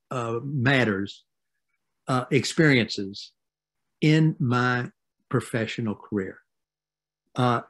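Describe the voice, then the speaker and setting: Slow, deliberate older man. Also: mid-range voice, fairly bright tone. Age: 70s. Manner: halting, deliberate, with weighty pauses. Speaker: retired university president, guest speaker. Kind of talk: reflective remarks in a panel tribute. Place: home study with bookshelves, indoors. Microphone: video call computer microphone.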